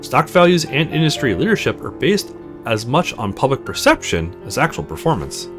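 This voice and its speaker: Man reading as a shrewd, deliberate older male lawyer. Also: mid-range voice, sing-song, music in the background, natural voice. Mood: measured, knowing. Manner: formal, deliberate.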